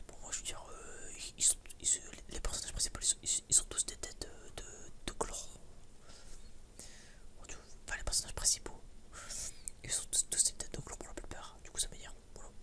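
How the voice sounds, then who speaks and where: Whispering young man, hesitant and rambling. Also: a little fast. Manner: hesitant, rambling, informal and slangy. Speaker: ASMR creator. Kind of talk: whispered ASMR casual chat.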